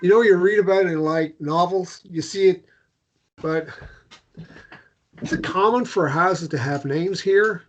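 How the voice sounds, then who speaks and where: A man playing an awkward, gently joking American man in love in a naturalistic romance drama read, hesitant and stumbling over his words. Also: high-pitched, unhurried, pauses often, muffled recording. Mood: curious, hesitant.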